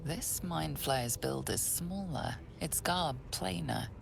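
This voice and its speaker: A woman playing a solemn storyteller, giving measured, ominous scripted narration for a fantasy video game. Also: deep voice, gravelly, music in the background, exaggerated voice.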